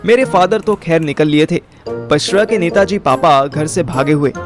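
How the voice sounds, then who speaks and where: Narrator-lead for audio drama: young man, slightly high pitch, first-person, casual and slangy Hinglish delivery. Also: moderate pace, over music.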